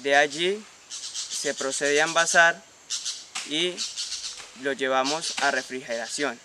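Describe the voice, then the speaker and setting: A man's voice: on the high side, slow, noisy background. A Colombian man in his late teens giving a prepared explanation to camera outdoors. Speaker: production and marketing leader of a small food business. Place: outdoors in countryside.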